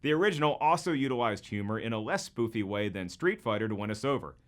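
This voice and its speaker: A man's voice: mid-range voice, big pitch swings, quick pace, a bit bright. A man presenting scripted comparison commentary, opinionated and playfully irreverent. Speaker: video presenter.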